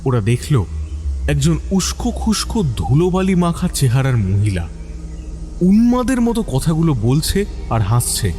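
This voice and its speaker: A man's voice: fairly high pitch, very animated, measured pace, warm tone, faint music behind. A man reading a scripted dramatic story as its narrator.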